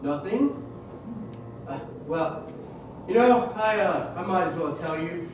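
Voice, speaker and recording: A stage actor playing a smooth-talking, scheming circus magician in a theatrical play. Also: mid-range voice, expressive, slow pace, a little mellow. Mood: evasive. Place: indoor theater stage, distant mic. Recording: echoey theater stage from far off, faint noise.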